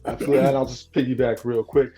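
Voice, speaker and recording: A man at a middle pitch talks fast in casual podcast chat. He is agreeable and quick to back up the others, with faint music in the background. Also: little pitch movement, dark tone.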